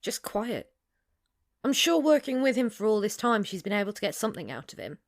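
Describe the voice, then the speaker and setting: A woman playing a young, weary but steady leader of survivors, calm and gently joking. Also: medium pitch, a little fast. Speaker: survivor leader in audio drama. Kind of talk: audio drama acting.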